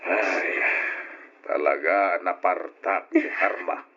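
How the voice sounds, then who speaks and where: An actor playing a stern but sympathetic elderly father and grandfather in an Ilocano radio drama, speaking gravely and emphatically with an Ilocano accent. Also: low-pitched, slow pace, pushed and bright, exaggerated voice. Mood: somber. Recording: dull, muffled old radio drama recording.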